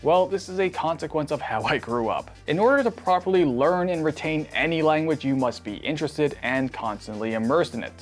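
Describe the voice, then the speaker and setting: A man's voice: on the high side, expressive, brisk, few pauses, soft background music. A man, a native Cantonese speaker, narrating a scripted video essay in a wry, self-deprecating way.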